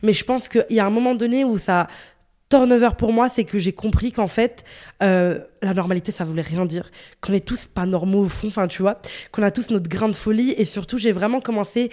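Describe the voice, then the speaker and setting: Woman, late twenties, from northern Italy, interview guest, energetic, casual, easy laugh. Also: medium pitch, a little fast. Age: 20s. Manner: bubbly, laughs easily, many fillers.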